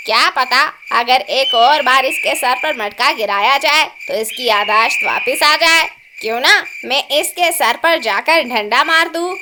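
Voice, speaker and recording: A woman's voice: high voice, noisy background. A woman voicing a put-upon, complaining male crow in a cartoon, full of exclamations. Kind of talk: Hindi cartoon voice acting.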